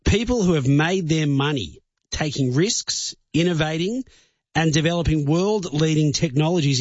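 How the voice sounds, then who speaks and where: A man's voice: on the high side, no room sound, dull audio. Formal male news host, dry sound. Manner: measured, formal presenter delivery. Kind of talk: broadcast interview.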